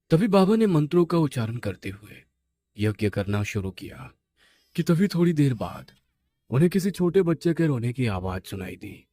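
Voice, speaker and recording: Casting: male horror storyteller, scripted read. Lowish mellow voice, dry recording. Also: no room sound. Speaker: narrator.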